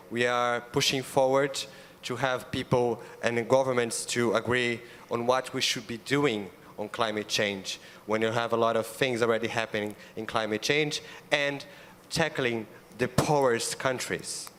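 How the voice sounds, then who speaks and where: A man's voice: medium pitch, a bit bright, in a large hall, a little hiss or hum. A young man from Brazil with a Brazilian Portuguese accent, a native Portuguese speaker, giving an earnest panel statement with frequent ums and restarts. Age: young adult. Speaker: youth ambassador panelist. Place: large conference auditorium.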